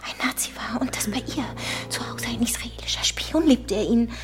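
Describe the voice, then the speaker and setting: A woman's voice: on the high side, moderate pace, whispered, close to an everyday voice. An actress playing a flustered, frightened woman in a radio drama, stammering and repeating her words breathlessly. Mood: agitated shock. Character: flustered, frightened woman bringing bad news. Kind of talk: German radio drama acting.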